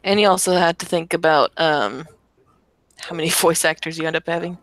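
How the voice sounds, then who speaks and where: A woman's voice: low-pitched, steady tone, a little fast, fairly bright tone, dry recording. A woman chatting casually in a brainstorming group, offering suggestions a little hesitantly.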